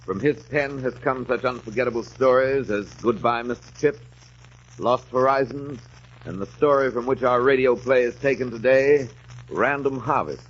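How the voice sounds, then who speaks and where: Medium-pitched male radio drama presenter. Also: normal speed. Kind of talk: 1940s scripted radio introduction. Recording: muffled, noisy 1940s radio recording.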